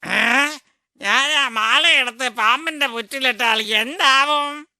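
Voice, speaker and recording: A woman voicing an aggrieved mother crow, emotional and protesting, in an animated children's story. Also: sits high, slow pace, dry recording, studio quality, heavily acted. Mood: puzzled.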